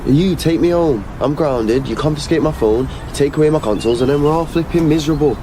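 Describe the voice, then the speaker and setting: A man's voice: fairly high pitch, fast talker, natural voice. A male actor playing a sullen teenage schoolboy in a naturalistic radio drama, terse, slangy and sarcastic. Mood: sullen, irritated. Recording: noisy outdoor traffic ambience. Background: vehicles, outdoor ambience.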